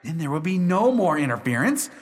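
In a long reverb, a high-strung husband, played by a man pleads emphatically, his pitch swinging widely. He is exasperated and imploring, in the manner of a radio drama. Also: big pitch swings, brisk. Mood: persuasive. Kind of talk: radio play acting.

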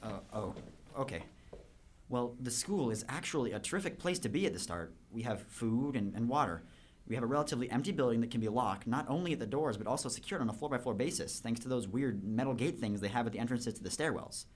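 A man playing a brainy homeschooled teenage boy in a radio play. He talks very fast in a mid-range voice. He is earnest and pedantic, explaining everything like a lecture. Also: mid-range voice, lively intonation, close to an everyday voice. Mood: earnest, eager. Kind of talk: youth radio drama acting.

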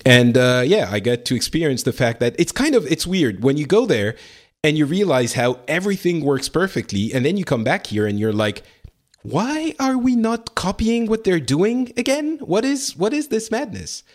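A man's voice: fairly high pitch, very animated, normal speed. A man hosting a casual podcast panel chat who talks with many fillers and restarts and laughs easily. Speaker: podcast host.

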